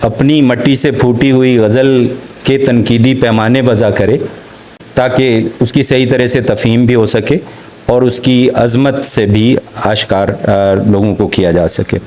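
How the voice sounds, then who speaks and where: Slow, halting Pakistani male lecturer. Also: medium pitch, steady tone, a little mellow, low-quality recording. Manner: halting, deliberate, with frequent hesitations and pauses. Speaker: literature lecturer. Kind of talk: formal academic lecture with poetry quotations.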